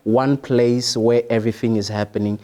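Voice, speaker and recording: Casting: young South African man, first language Sotho, relaxed warm tone, thoughtful stop-start explanations as a podcast guest. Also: mid-range voice. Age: young adult. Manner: halting, explanatory, repeats for emphasis. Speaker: podcast guest, international student. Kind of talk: conversational interview answers.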